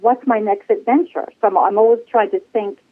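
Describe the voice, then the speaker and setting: A woman's voice: mid-range voice, steady tone, brisk. A woman in her sixties chatting casually as an interview guest, effusive and rambling, over a telephone. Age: 60s. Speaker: podcast guest, flight attendant. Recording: tinny phone-line sound.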